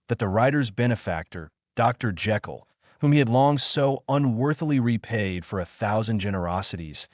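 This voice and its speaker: Male audiobook narrator, lowish pitch, animated intonation, measured formal phrasing, dry studio sound. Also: no room sound. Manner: measured, formal literary delivery. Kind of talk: scripted dramatic audiobook narration.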